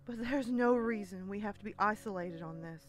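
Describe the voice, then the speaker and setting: A woman playing a supportive, steady wife and mother in an audio drama, speaking soothingly. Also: medium pitch, moderate pace, lightly acted. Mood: gentle, firm. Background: background music.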